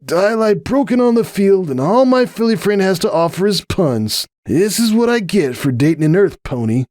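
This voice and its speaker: A young cartoon pegasus jock, played by a man, pitched well up with lively swings. He grumbles wryly and dramatically, sounding strained and wheezy. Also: normal speed, dry recording, studio quality. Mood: indignant. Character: young male cartoon pegasus athlete, wry, stoic sore grumbler.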